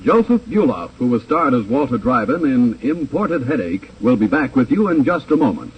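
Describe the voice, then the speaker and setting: A man's voice: medium pitch, sing-song, normal speed, lo-fi sound. A man reading scripted 1950s radio announcements in a formal, declamatory manner. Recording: distant old radio recording under music.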